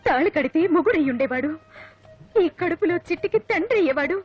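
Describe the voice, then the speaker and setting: A woman's voice: very high-pitched, expressive, fairly quick. An actress in a film comedy playing a melodramatic young woman who claims she was jilted, pleading in an exaggerated way. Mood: plaintive. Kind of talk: Telugu comedy film acting. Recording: dull film soundtrack with music behind.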